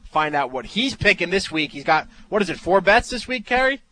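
Jersey-accented male radio host, bright tone, quick to tease. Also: high-pitched, lively intonation, dry recording. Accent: New Jersey. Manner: joking, teasing. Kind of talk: casual sports radio banter.

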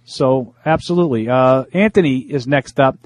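Man, sports radio co-host, casual chat, dry close sound, mid pitch, reads emails with halting fillers. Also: no echo. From Italian-American.

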